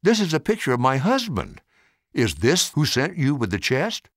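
An older man voicing a kind, gracious woman who runs an orphanage in a storybook, gentle and polite. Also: mid-range voice, wildly swooping pitch, moderate pace, dry recording, clean studio sound, pitched above the performer's own voice. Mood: serious.

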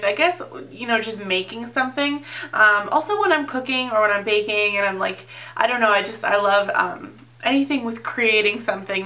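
A young woman talks in a chatty, informal vlog style. Her voice sits a bit high and is very bright, though slightly off as she gets over an illness. She is recorded indoors, a little away from the microphone. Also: distant mic. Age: young adult. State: slightly off voice, getting over being sick. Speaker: beauty vlogger. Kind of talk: casual chatty unboxing vlog. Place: indoors, small home filming set.

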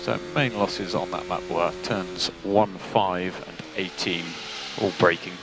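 A man working as a race engineer, giving calm, clipped technical instructions over team radio. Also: low-pitched, slow pace. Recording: noisy team radio, engine noise behind.

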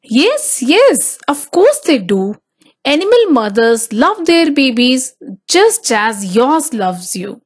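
Female storybook narrator for small children; very slow delivery, lively intonation. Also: sits high. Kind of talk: children's picture book read-aloud.

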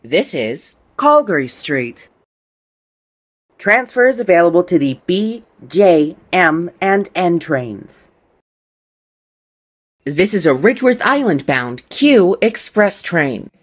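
A woman reading scripted automated train announcements in a formal, measured, pieced-together way. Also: lowish voice, big pitch swings.

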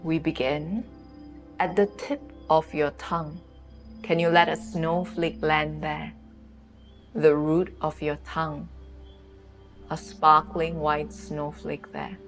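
Female meditation guide, deep-pitched and very slow, soothing delivery with spacious pauses. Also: little pitch movement, faint music behind. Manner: soothing, unhurried, with long pauses. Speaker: yoga nidra guide.

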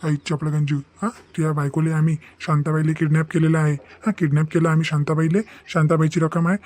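A man's voice: on the high side, level tone, fast talker, a little mellow, lightly acted. A man voicing a menacing, bullying cartoon kidnapper. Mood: threatening. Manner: bullying, taunting, threatening. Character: menacing village gangster kidnapper demanding ransom by phone. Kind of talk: Marathi cartoon comedy dialogue.

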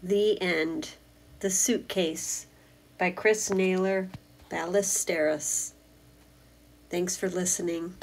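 A woman's voice: fairly low pitch, fairly even intonation, takes it slowly, pauses often, a little hiss or hum. A woman narrating a children's storybook aloud, in the manner of a teacher reading to pupils.

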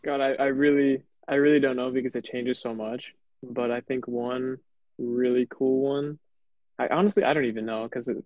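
A man's voice: mid-range voice, no echo. Young man, dry muffled sound. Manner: informal, thinking aloud. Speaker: panelist. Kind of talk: casual chat. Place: indoors on a video call. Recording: dull video call audio.

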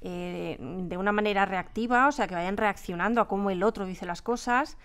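Female, Spain accent, medium pitch, creative team member explaining her process in a casual, emphatic way. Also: normal speed, fairly bright tone, clean tone. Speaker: audio drama creator.

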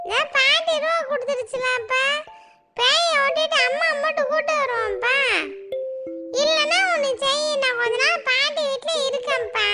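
Very high, whiny little boy, acted out. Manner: whiny, pleading, repetitive. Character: pleading young boy who misses his mother. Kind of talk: Tamil cartoon story voice acting. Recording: loud music over the voice.

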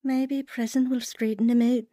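A woman's voice: a little high, flat delivery, moderate pace, dark tone, no echo, natural voice. A woman playing a vulnerable, earnest young wife and mother in a radio drama. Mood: troubled, earnest. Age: young adult. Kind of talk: radio drama acting and first-person narration.

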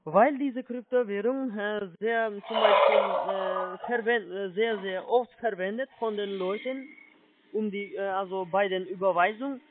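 A man with a foreign accent, not a native German speaker, giving a halting phone sales pitch and often checking he is followed. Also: background noise. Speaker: telephone sales agent, scammer. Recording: muffled low-fidelity phone line.

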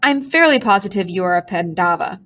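A woman's voice: medium pitch, lively intonation, fairly quick, a bit bright, natural voice. A woman voicing a melodramatic, self-pitying talking pigeon with a pompous, theatrical manner. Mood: haughty. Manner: theatrical, sighing, haughty complaints.

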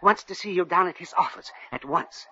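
An actor playing a fussy, flustered, deferential store floor walker who sputters in a 1940s radio comedy. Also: male voice, high voice, brisk, sharp tone, slight background noise. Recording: muffled vintage radio recording.

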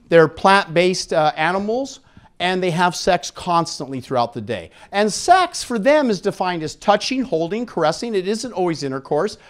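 A middle-aged man giving a frank, informal live lecture in a jokey, conversational way, speaking into a handheld microphone. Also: sits high. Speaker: conference speaker on sexual health. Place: indoor conference room with seated audience.

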